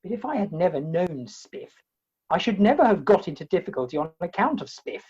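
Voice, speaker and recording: Woman acting exasperated pleading hero, emphatic, very lively intonation, Victorian stage comedy, dull lo-fi sound. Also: on the low side, a little fast, slightly rough. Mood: indignant. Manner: theatrical, wordy, emphatic. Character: indignant, exasperated comic hero pleading his case. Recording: dull, lo-fi video-call audio.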